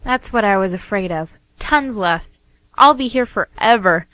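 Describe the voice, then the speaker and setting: A woman's voice: mid-range voice, expressive, a little slow, fairly bright tone, dry recording. A woman playing a coy, quirky, playful young woman in an amateur radio comedy. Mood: glum.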